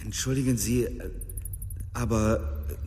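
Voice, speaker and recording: A man acts out a worn-out, timid employee who stammers and apologizes. He speaks slowly and haltingly in a reverberant room with faint noise, in radio drama style. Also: mid-range voice, fairly even intonation, dark tone, lightly acted. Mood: flustered, timid. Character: nervous, worn-out, submissive male employee. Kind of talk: German radio drama acting.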